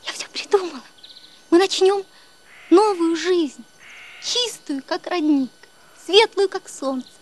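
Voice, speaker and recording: Loving wife begging her husband, super high voice, takes her time. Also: a little slow, pauses often, slight background noise. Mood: pleading. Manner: earnest, emotional pleading. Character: devoted loving young wife, pleading and tender.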